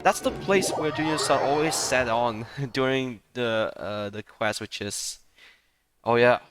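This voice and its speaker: A man giving casual, halting and rambling commentary as he plays along with a game. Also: medium pitch. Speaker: gamer playing along. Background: game music.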